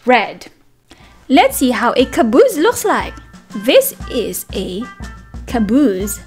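A woman teacher reading a picture book aloud to toddlers in an animated, sing-song manner, with simple repeated words and questions. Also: on the high side, takes it slowly. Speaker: children's teacher and read-aloud host. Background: background music.

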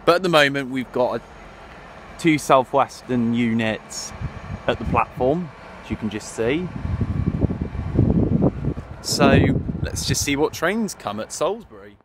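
A man's voice: mid-range voice, animated, a little slow, pauses often. A young man chatting eagerly to his viewers as he vlogs trains from a railway platform, filming on a phone at arm's length. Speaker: trainspotting vlogger. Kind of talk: casual vlog commentary. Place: outdoors on a railway station platform. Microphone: phone camera held at arm's length. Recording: faint outdoor background noise. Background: faint outdoor traffic and station ambience.

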